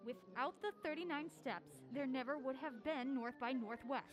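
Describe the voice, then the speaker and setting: A woman's voice: high-pitched, normal speed, a crowd in the background, close to an everyday voice. An actress playing a mild-mannered film-obsessed woman with dry, wry banter in a naturalistic audio drama. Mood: keen, mild.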